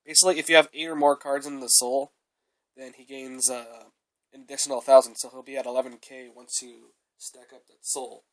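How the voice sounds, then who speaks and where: A man's voice: medium pitch, flat delivery, fairly quick, rough recording. Young man with a cold, rambling casually. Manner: rambling, repeated fillers like "though" and "uh". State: sick with a cold, coughing. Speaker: card game reviewer. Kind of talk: casual deck review.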